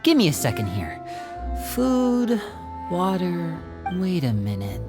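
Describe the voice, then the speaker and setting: Young guy in a video game, lowish voice, lots of lilt, confident big brother type, soft music. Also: huge pitch swings, slow, heavily acted. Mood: inquisitive. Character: young male magician, confident, caring, perceptive older brother.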